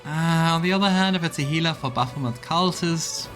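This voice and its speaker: A man's voice: on the high side, a little fast, fairly bright tone. Man commentating on a game over music. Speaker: let's play streamer. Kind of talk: casual game commentary mixed with reading dialogue aloud.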